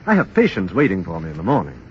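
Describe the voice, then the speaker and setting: An actor playing a wry, world-weary family doctor in a 1930s radio drama. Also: male voice, low voice, wildly swooping pitch, quick pace, a little hiss or hum. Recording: muffled 1930s radio broadcast.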